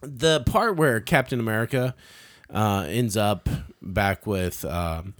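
A man's voice: on the low side, slow pace, fairly bright tone. A man giving a casual, opinionated film review as a podcaster, often stammering and repeating words as he starts.

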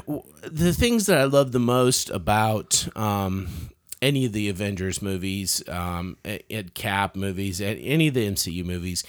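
A man's voice: on the low side, expressive, a little slow, hardly any pauses. A man giving a casual, opinionated film review as a podcaster, often stammering and repeating words as he starts.

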